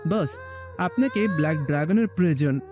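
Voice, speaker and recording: A man's voice: fairly high pitch, animated, normal speed, close to an everyday voice. A man playing a loyal, deferential gang lieutenant in an audio story. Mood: earnest, deferential. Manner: deferential, earnest. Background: music.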